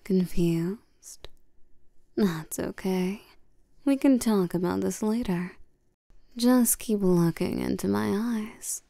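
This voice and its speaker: A woman playing a seductive, commanding vampire queen in an intimate roleplay audio, soft and breathy, teasing and condescending, often sinking toward a whisper. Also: medium pitch, no room sound, clean studio sound. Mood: seductive, hypnotic. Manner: slow, teasing, domineering, with terms of endearment.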